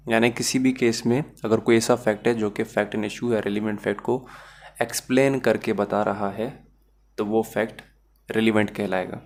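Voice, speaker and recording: A man's voice: on the low side, steady tone, moderate pace. A man with a Pakistani accent giving a methodical, step-by-step explanatory lecture as a law teacher, mixing in English terms.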